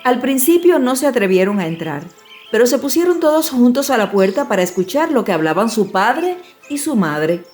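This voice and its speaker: A woman narrating a fairy tale as a scripted audiobook, in a measured, expressive storytelling manner. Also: fairly high pitch, soft background music.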